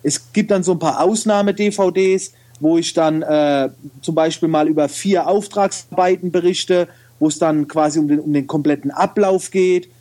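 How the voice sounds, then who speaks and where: Man, regional German dialect, high-pitched voice, chatty and loose, guest in a podcast interview. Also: steady tone, normal speed, few pauses, dark tone. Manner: chatty, loose, many fillers and restarts. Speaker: guest, photo retoucher and video trainer. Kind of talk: casual interview talk.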